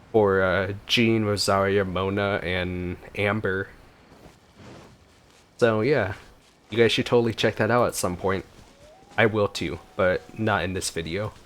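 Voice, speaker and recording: A man's voice: on the low side, a little hiss or hum. A man giving casual gameplay commentary as a streamer, laid-back with wry asides. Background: game music.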